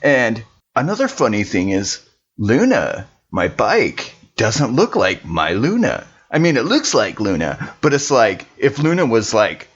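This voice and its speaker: A man's voice: medium pitch, wildly swooping pitch, measured pace, husky, muffled recording, exaggerated voice. Man playing a swooping, slangy, bewildered biker dude. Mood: bewildered, excitable. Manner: rambling, exclamatory, slangy. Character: excitable, bewildered biker dude recounting a weird night. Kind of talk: in-character improvised recap, slangy. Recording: noisy home recording, mouth clicks.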